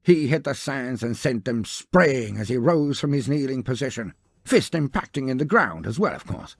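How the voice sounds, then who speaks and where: Gravelly, husky man narrating dramatically. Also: medium pitch, sing-song, normal speed, dry recording, studio quality. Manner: theatrical, grandiloquent, with wry asides. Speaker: lore video narrator. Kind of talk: dramatic scripted story narration.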